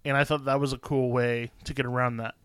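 A man's voice: mid-range voice, flat delivery, fast talker, fairly bright tone, smooth, dry recording. A man co-hosting a podcast, chatting casually about a movie in a laid-back, rambling way. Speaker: podcast co-host. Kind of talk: casual movie review chat.

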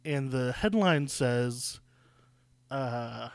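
A man in relaxed podcast chat, his voice nasal and stuffed up. He talks with dry, joking asides, and the sound is close and dry, with no room echo. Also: medium pitch, extremely slow, creaky, clean tone. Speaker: podcast co-host. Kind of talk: casual chat. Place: cold basement.

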